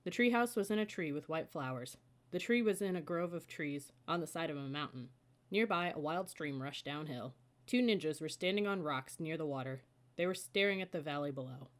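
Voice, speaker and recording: A woman reads a children's audiobook in a slightly low voice. She narrates the story as a storybook reader, carrying the listener through the scenes. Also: expressive, brisk.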